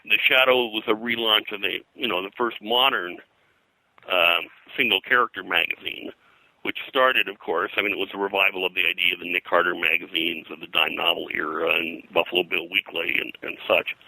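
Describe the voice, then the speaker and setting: Bright, forceful low-voiced man explaining history. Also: steady tone, creaky, dry recording, poor audio. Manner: halting, digressive, detail-heavy explaining. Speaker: pop culture historian, guest. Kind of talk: informal interview, recounting history.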